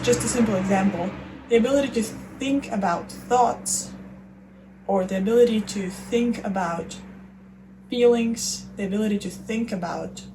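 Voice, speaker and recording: A young woman talks through her ideas slowly, pausing and restarting as she hunts for the right word. Her voice sits at an ordinary middle pitch, and a faint hiss of background noise lies under it. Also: darkish tone, low-quality recording. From Russia. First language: Russian. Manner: thoughtful, searching for words, many fillers. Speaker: podcast host. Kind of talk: reflective solo talk.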